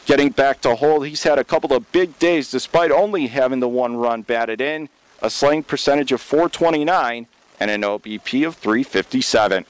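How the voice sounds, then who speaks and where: Clear-voiced American baseball play-by-play man. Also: mid-range voice, moderate pace, dry recording, poor audio. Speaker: baseball radio play-by-play announcer. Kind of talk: live sports radio play-by-play commentary. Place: press box at a baseball stadium.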